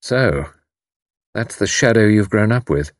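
A man voicing a gentle, patriotic Englishman in an audiobook, calm, thoughtful, dry and understated. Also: on the low side, unhurried, no room sound. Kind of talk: audiobook character dialogue.

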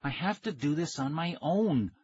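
A man voicing an excitable, anxious but stubborn boy who talks in an urgent, exasperated, pleading way. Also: a little high, normal speed, husky, dry recording, dull audio, voice pushed up.

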